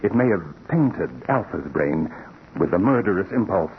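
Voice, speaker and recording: A man playing an earnest, secretive laboratory assistant in 1940s radio suspense style, speaking in a deliberate, formal way. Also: low-pitched, big pitch swings. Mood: measured, explaining. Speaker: actor in a radio drama. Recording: muffled, noisy 1940s radio recording.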